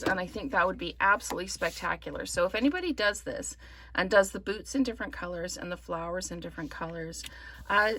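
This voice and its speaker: Slightly croaky woman demonstrating crafts. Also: on the low side. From Canada. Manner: relaxed, rambling with many ums. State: slightly croaky from wildfire smoke. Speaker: card-making demonstrator. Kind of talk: casual craft tutorial. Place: indoors at a craft table.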